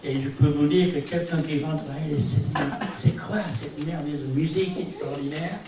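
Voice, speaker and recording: An older man whose first language is English preaches a sermon, his voice sitting a little high. He rambles from point to point with small asides and gentle self-mockery. He is heard from a distance, the sound washing around a very echoey hall over a faint hiss. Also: steady tone, moderate pace. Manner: rambling, peppered with "eh bien" and "hein". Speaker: visiting preacher.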